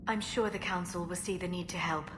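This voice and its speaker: An actress playing a gentle, grave alien scientist in a video game, speaking in a measured, earnest, precise way. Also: female voice, lowish voice, steady tone, background noise, lightly acted. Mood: earnest, hopeful. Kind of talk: video game cinematic acting.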